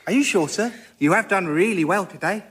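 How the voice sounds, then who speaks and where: A man's voice: high-pitched, fairly quick, fairly bright tone, breathy edge. An actor playing a chummy key cutter in a radio comedy sketch, deferential and coaxing as he congratulates his customer. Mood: coaxing, congratulatory.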